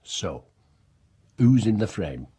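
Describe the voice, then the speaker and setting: Radio drama: easygoing male detective, gravel-rough voice, wry and animated. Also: fairly low pitch, slow pace, full character voice. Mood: wry, thoughtful. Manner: wry, prompting. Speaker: actor.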